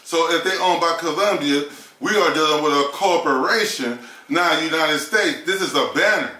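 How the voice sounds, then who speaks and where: A man argues his points in a heated casual discussion, firing off rhetorical questions and swearing freely. His voice sits a little high with a bright, forceful edge. The room around him is echoey. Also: normal speed. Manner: emphatic, argumentative, rhetorical questions, profane. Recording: roomy echo.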